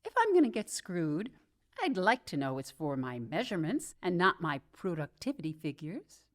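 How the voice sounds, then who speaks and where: Sardonic, animated New York career woman. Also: lowish voice, normal speed. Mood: brittle flippancy. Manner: sarcastic, theatrical wisecracking. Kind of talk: comedic radio drama acting.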